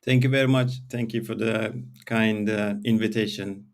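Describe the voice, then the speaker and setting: Male academic guest, fairly low and gravelly, measured and hesitant interview delivery. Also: fairly even intonation. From born in Eritrea, grew up in Sweden. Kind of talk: explaining his career in an interview.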